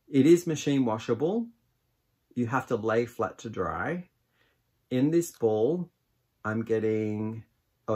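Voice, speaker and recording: A man in his forties giving a casual product review to camera, unhurried and musing as he thinks aloud. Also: medium pitch, gravelly. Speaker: yarn vlogger, host. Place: small indoor craft room with shelves of yarn.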